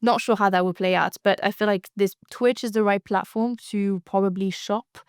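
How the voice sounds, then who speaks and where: A woman's voice: medium pitch, steady tone, normal speed, clean tone, no room sound, clean studio sound. A woman co-hosting a casual podcast, chatting loosely with lots of fillers and restarts. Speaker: co-host.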